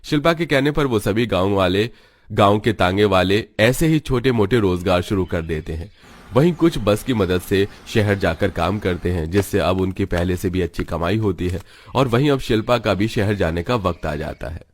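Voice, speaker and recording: A man's voice: fairly low pitch, animated, normal speed. A man reading scripted story narration for a cartoon.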